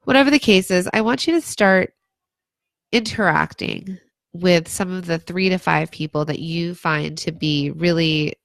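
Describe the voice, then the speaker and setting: Hoarse, gravelly woman giving chatty advice. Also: on the low side, measured pace, dry recording. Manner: chatty, lots of "like" and "um", restarts. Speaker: brand consultant. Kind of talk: casual livestream advice talk.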